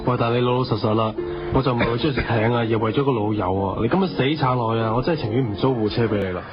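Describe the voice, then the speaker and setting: Fast-talking, fretful Hong Kong cab owner played by a man. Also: medium pitch, close to an everyday voice. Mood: exasperated. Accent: Hong Kong Cantonese. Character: worried, loyal male taxi owner, blunt friend. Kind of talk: radio drama acting. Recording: dull lo-fi radio audio with music.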